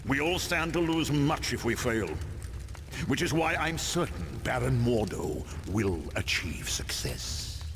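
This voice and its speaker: An imperious game supervillain who commands and threatens. His formal, deliberate delivery is slow and swings widely in pitch. The recording has a noisy rumble behind it. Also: big pitch swings. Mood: threatening. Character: imperious, menacing male supervillain mastermind in command. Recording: noisy game cutscene audio.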